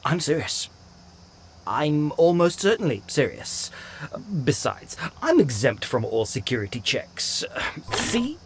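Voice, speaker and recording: A man playing a smug, eccentric robot weapons inventor who talks in a glib, excitable way. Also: a little high, takes it slowly, slight background noise, heavily acted.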